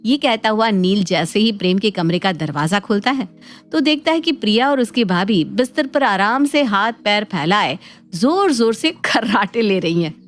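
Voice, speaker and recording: A woman's voice: mid-range voice, expressive, moderate pace. A woman narrating a scripted cartoon family story in a dramatic storytelling manner. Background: faint background music.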